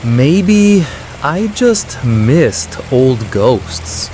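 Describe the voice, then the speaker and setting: A man acting a wry, quietly defiant artistic cousin in an audio drama, with a dry, teasing manner. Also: mid-range voice, very animated, very slow, background noise.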